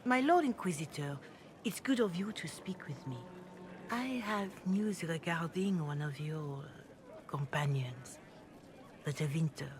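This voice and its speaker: A woman playing a grave, courteous older cleric in a video game, speaking in a formal, measured, diplomatic way. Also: deep voice, soft background music. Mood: grave, reserved. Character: grave, courteous older Chantry mother bearing delicate news. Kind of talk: video game dialogue acting.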